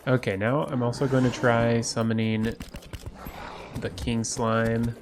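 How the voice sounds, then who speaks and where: Stuffy-nosed male gaming host, cheerful let's play commentary, mid pitch, faint music bed. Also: a little slow. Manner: upbeat, excited at loot. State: congested from a cold. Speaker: gaming YouTuber.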